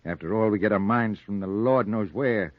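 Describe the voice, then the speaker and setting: Actor as a low-voiced, folksy, menacing police sergeant. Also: male voice, normal speed, no room sound, close to an everyday voice. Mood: musing, unhinged. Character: folksy male police sergeant, secretly a deranged strangler. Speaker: actor in radio drama. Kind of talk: 1940s radio noir acting. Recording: dull dry 1940s radio recording.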